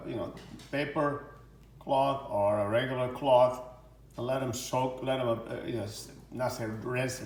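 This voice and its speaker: A middle-aged man explains a dish as he cooks at home. He rambles, restarts his sentences and fills gaps with "uh" and "you know." His pitch sits in an ordinary middle range for a man. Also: a little mellow, a little hiss or hum. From Portugal. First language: Portuguese. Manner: rambling, many fillers and restarts. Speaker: chef. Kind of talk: home cooking demonstration.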